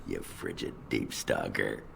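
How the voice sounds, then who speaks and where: An actor playing a crude, boastful dwarf warrior in a fantasy video game, with guttural, coarse, swaggering banter. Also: male voice, very deep voice, fairly even intonation, unhurried, fairly bright tone, a little hiss or hum, full character voice. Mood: gruff teasing. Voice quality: gravelly, guttural.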